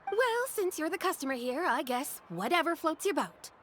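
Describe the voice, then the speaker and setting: Female shopkeeper for a game: high, animated voice, wry, grudging and all business. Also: moderate pace, noisy background. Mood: resigned. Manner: wry, matter-of-fact. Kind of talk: video game voice acting.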